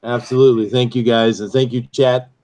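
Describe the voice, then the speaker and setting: A man's voice: mid-range voice, flat delivery, fairly quick, darkish tone. A man who is a guest writer, chatting casually in an easygoing way and mostly giving brief replies. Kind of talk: casual chat on a live stream.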